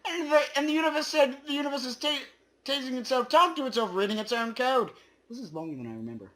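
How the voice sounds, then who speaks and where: A man giving a comedic dramatic reading in an over-the-top theatrical manner, his voice a little hoarse. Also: extremely high pitch, animated, fast talker, fairly bright tone. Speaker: reader.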